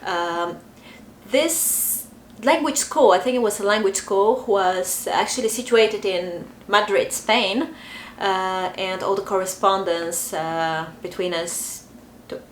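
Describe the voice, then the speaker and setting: A young adult woman with a Bulgarian accent, talking casually to camera and pausing often with fillers. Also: mid-range voice, slight background noise. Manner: chatty, hesitant, many fillers. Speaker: vlogger. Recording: echoey room, faint ambience.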